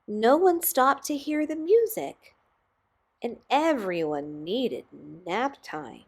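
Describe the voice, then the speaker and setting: Slow, expressive woman reading a picture book. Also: high-pitched. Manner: slow, dramatic pauses with emphasis on key words. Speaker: storybook reader. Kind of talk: expressive children's picture book read-aloud.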